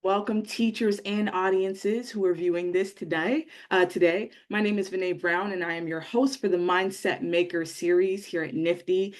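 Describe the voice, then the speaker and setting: Upbeat man hosting a webinar. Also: high-pitched. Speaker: webinar host. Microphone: video call.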